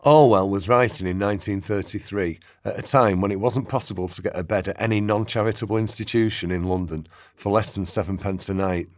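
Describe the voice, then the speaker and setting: A man acting as a sober narrator, giving measured, expository documentary-style narration in a radio play. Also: fairly low pitch, no room sound, close to an everyday voice. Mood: sober, explanatory. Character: sober male historical narrator.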